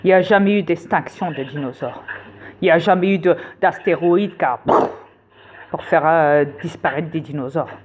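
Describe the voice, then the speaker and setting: A woman's voice: low-pitched, moderate pace, a little mellow, slight background noise. A woman lecturing viewers insistently in a rambling casual monologue, with many fillers. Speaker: conspiracy video host.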